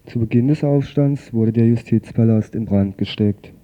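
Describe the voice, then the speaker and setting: Male radio news presenter, scripted delivery, deeply mellow tone, muffled lo-fi sound. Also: normal speed. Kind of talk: scripted news report read aloud.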